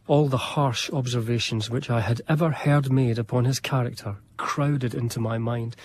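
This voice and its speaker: An actor playing an earnest, anxious gentleman biographer, narrating a period radio drama in a formal, reflective way. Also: medium pitch, breathy edge. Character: earnest, anxious, devoted gentleman biographer recalling his friend.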